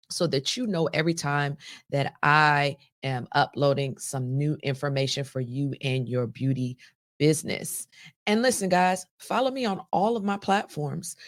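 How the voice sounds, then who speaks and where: A woman hosting a podcast, her voice raspy and hoarse from laryngitis, speaking straight to listeners in an upbeat, emphatic, motivational and folksy way. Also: low-pitched. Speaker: podcast host and business coach.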